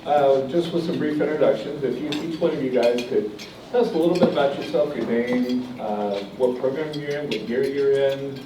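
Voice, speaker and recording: Moderator, man, easygoing, some ums; distant and boomy with dishes clattering. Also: normal speed, background noise. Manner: relaxed, hesitant with ums. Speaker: panel moderator. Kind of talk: moderating a student panel. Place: large banquet hall. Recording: echoey banquet hall, distant mic, dish clatter. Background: cutlery and dishes clinking.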